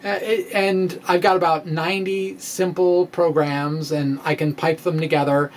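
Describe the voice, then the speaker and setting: Slow-paced, wry middle-aged man reading theatrically. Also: a little high, level tone, slight background noise. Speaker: science blogger and presenter. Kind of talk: dramatic reading of a science blog. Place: small indoor room with bookshelves. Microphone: microphone on a stand, close in front.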